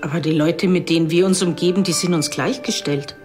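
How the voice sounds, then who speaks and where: Actress as snobbish widow, lowish voice, conversational and haughty, clear music underneath. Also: female voice, fairly even intonation, brisk, close to an everyday voice. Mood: bewildered. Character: chatty, snobbish well-off Bavarian widow.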